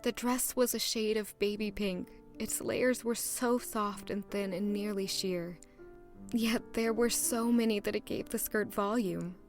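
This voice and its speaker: A woman's voice: medium pitch, steady tone, measured pace, soft background music, lightly acted. A woman playing a shy, nervous but hopeful young commoner who narrates her own story in an audio drama. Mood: wondering, hopeful.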